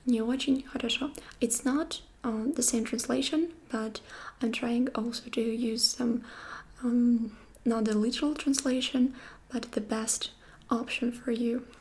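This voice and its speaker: A woman whispers her way through a gentle ASMR language lesson. Her voice sits a little high and mellow, and she takes her time over every phrase, patient and unhurried. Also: steady tone. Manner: soft whisper, slow patient explaining. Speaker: Russian language teacher.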